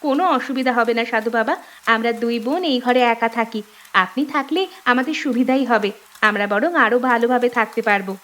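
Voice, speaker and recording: A woman's voice: fairly high pitch, brisk, fairly bright tone, lightly acted. A woman voicing two young village sisters in a cartoon, speaking urgently, earnestly and with care. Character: young village girl sisters, caring and brisk. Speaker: voice actor. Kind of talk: cartoon voice acting.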